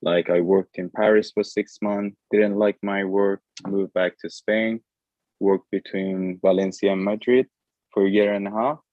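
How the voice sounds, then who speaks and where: A man in his thirties, from Iran, introduces himself as a dentist over a video call, in a brisk, matter-of-fact way. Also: fairly low pitch, flat delivery, no room sound. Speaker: foreign-trained dentist, guest speaker. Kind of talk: casual self-introduction. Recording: dull, dry video call audio.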